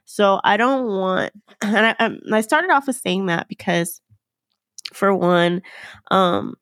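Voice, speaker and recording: A woman's voice: medium pitch, slow, a bit bright, no echo, clean studio sound. A woman hosting her own podcast in a casual, earnest way, sounding nasal from a cold and using many fillers. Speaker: podcast host. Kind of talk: casual solo podcast talk.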